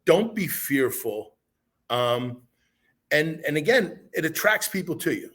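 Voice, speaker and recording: A man with a raspy voice hosting a podcast interview, encouraging and motivational, rambling a little as he asks his questions. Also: fairly high pitch, sing-song, a little slow, a bit bright. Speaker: podcast host.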